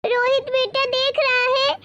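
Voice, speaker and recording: A woman's voice: little pitch movement, unhurried, muffled recording, very noisy. A woman voicing a forgetful, stubborn grandmother who keeps complaining and accusing her daughter-in-law. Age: over 60. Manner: shrill, accusing, whiny demands.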